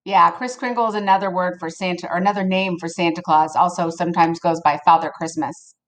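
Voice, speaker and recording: A woman reading a picture book aloud to children in an expressive, playful way, with casual asides to her listeners. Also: on the low side, a little fast. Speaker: storybook reader for children.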